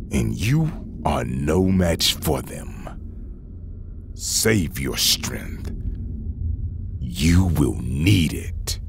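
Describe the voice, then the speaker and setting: A man playing a menacing, powerful supernatural villain in an audio drama, slow, gloating and deliberate. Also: extremely low pitch, vocal fry, background noise, heavily acted.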